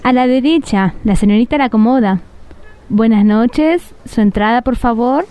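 A woman's voice: fairly high pitch, moderate pace, mellow, clean tone, lightly acted. A woman with an Argentine accent playing a hesitant, fretful young accomplice in a radio drama, doubtful in manner. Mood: polite.

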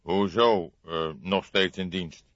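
A man's voice: low voice, fairly even intonation, takes it slowly, fairly bright tone, no room sound, lo-fi sound. A man voicing a grey-haired old police detective in an audiobook, authoritative and emphatic, with dry humour. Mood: drily witty.

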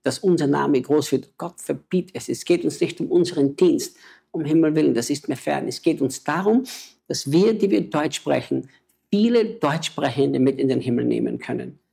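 A man's voice: fairly high pitch, animated, normal speed, gravelly, dark tone, slightly rough. A man, a missionary preacher and native German speaker, talking with emotional, urgent exhortation in a conversation.

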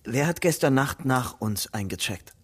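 A man's voice: medium pitch, expressive, moderate pace, husky, no room sound. An actor playing an anxious, guarded young man on the run in a German radio crime drama. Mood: wary. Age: young adult. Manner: brooding narration alternating with urgent questioning. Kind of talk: German radio crime drama, first-person narration and dialogue.

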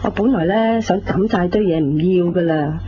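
A woman's voice: lowish voice, moderate pace, warm tone, slight background noise, natural voice. Muffled Hong Kong Cantonese mother in her forties, actress. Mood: chatty, nostalgic. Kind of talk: 1990s radio drama acting. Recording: muffled radio drama tape.

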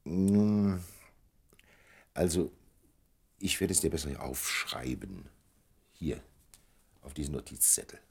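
A tender, secretive lover in a radio drama. His very low, gravelly voice is husky and measured, with lively swings in intonation as he indulges his beloved. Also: full character voice. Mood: secretive, deliberate. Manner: measured, indulgent, murmuring agreement. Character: indulgent, well-travelled male lover Charles, tender and secretive. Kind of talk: German radio play acting.

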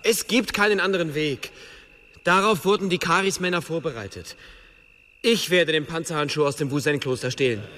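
Sharp, high, urgent thief in a hall. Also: male voice, moderate pace. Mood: determined. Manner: urgent, forceful. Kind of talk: fantasy radio drama acting. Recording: long hall reverb, music behind.

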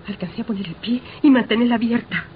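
A woman acting a young wife in a radio play, insistent and full of suspicion. Her voice sits a bit high, on a dull old recording with faint noise. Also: fast talker, lightly acted. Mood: secretive, excited.

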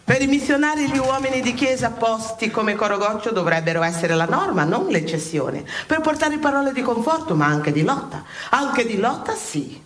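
An actress playing an impassioned heavenly examiner, lecturing souls with emphatic, theatrical delivery and dramatic pauses in a radio play. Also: female voice, fairly high pitch, lively intonation, a little hiss or hum, natural voice. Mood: indignant, fervent.

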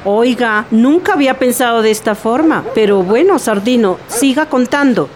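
A woman's voice: fairly high pitch, expressive, moderate pace, darkish tone, soft background music, close to an everyday voice. A woman playing a grumpy, scolding Colombian neighbor in a colloquial radio sketch. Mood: curious, softening. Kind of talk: scripted radio sketch acting.

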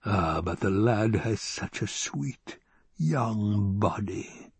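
A male narrator plays an older villain baron for an audiobook. He is domineering and indulgent, drawing out his words in a slow, menacing growl. The sound is clean and close, with very little room. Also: lowish voice, expressive, vocal fry, husky, dry recording, heavily acted. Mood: weary, gloating. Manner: slow, drawling, growling command. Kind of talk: audiobook character acting.

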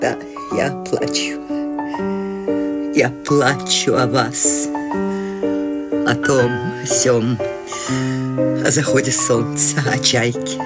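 A slow, low-voiced whimsical old lady. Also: fairly even intonation, loud music behind the voice, exaggerated voice. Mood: tearful. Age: older. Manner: unhurried, wistful, tender. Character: eccentric, free-spirited elderly woman. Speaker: Maude in a radio play. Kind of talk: studio radio drama acting.

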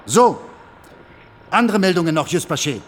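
An actor playing a sceptical general in a German radio drama, precise, controlled and authoritative. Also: male voice, on the high side, big pitch swings, moderate pace, slight background noise. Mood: cool, commanding. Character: cool, sceptical commanding general questioning a subordinate.

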